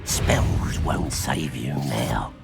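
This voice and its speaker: A male actor voicing a menacing, bloodthirsty goblin warrior with a gravelly voice for a video game. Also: very deep voice, heavily acted. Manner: slow, threatening. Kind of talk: video game combat taunts. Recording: loud music over the voice.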